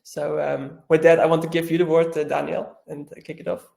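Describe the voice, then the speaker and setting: A man introduces a webinar guest, halting and repeating himself. His voice sits a bit high, gravelly and flat in tone. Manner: halting, repetitive.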